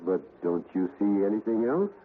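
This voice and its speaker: A man playing a grave, determined ghost hunter in a deliberate, theatrical 1970s radio drama style. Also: on the low side, sing-song. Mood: intrigued. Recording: muffled, noisy old radio recording.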